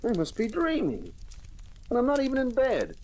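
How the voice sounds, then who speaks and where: A man's voice: very high-pitched, huge pitch swings, normal speed, heavily acted. Wry, swooping-voiced radio leading man. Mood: weary, sardonic. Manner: wry, flippant, slangy. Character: wisecracking, weary male television detective-show actor. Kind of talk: old-time radio detective drama acting. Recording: old radio recording with faint hiss.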